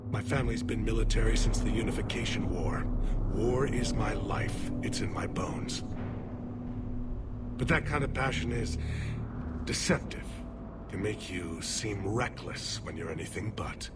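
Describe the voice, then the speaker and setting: Low-voiced, blunt alien general. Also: male voice, expressive, a little slow, over loud music. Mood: grave, reflective. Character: blunt, battle-hardened male alien general, proud and stern. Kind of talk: cinematic sci-fi game acting. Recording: loud battlefield and vehicle noise behind.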